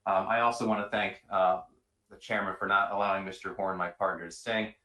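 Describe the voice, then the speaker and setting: A man with a very deep but forceful voice addresses a public hearing as an attorney. He is courteous and halting in his phrasing. The room sounds echoey around him. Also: level tone. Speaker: attorney representing an applicant. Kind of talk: semi-formal public hearing remarks. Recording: roomy, echoing meeting room sound.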